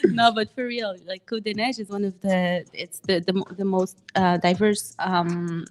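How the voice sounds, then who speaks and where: A young woman from Morocco, a guest chatting casually in an interview, talking in quick restarts with many fillers. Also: medium pitch, steady tone. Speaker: guest, former municipal candidate.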